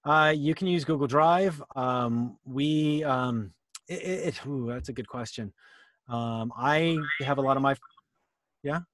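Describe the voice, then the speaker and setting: A man in his fifties explaining things casually in a halting, think-aloud way on a video call. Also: medium pitch, fairly bright tone, dry recording. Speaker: workshop presenter. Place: small cluttered indoor room.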